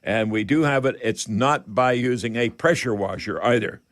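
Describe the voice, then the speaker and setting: A man hosting a radio call-in show, giving home improvement advice in a halting, folksy, rambling way. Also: mid-range voice, a bit bright. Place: radio studio.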